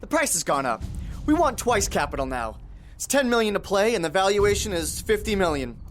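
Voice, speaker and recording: Stubborn amateur kidnapper, male; high voice, tense, hard-bargaining, slightly noisy recording. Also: big pitch swings, a little fast. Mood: defiant, bargaining. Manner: curt, defiant, suspicious. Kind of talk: audio drama acting.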